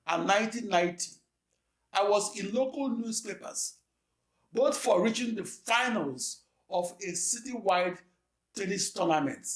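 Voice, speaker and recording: Slow, echoey male lecturer. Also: high voice. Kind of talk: scripted lecture.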